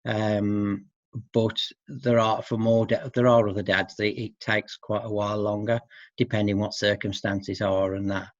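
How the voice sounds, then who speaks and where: A middle-aged man speaking as an expert guest in an informal interview, hesitant and halting, often seeking agreement with tag questions. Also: lowish voice, level tone, dry recording. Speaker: expert guest who works with men. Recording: dull, muffled lo-fi audio.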